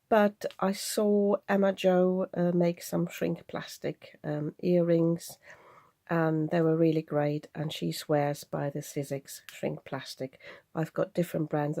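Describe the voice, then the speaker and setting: A woman's voice: on the low side, vocal fry, mellow, dry recording. A woman chatting casually and a little hesitantly as she presents craft supplies in a show-and-tell video. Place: indoors at a craft desk.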